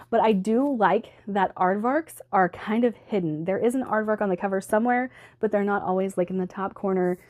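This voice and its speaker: Upbeat woman chatting about books, a bit hoarse, laughs easy, mid pitch. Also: a little mellow. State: slightly hoarse from allergies. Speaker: book vlogger. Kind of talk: casual chat to camera.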